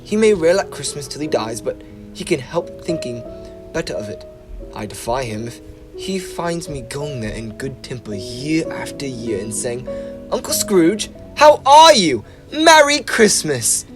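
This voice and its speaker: A man's voice: a little high, very animated, measured pace, music in the background. A young man playing cheerful nephew Fred. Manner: warmhearted toasting speech. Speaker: stage actor. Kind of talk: school stage play.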